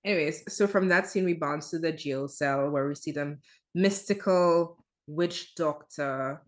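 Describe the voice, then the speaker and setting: A woman hosting a casual podcast, recounting film scenes in an animated, amused way. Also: deep voice, a little slow. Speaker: podcast host. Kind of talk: casual chat.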